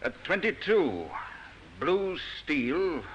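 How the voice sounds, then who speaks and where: A man's voice: a little high, sing-song, extremely slow, fairly bright tone, exaggerated voice. A man playing a dutiful, plain-spoken police detective in a 1950s radio drama, clipped and observant. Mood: matter-of-fact. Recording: muffled hissy old radio recording.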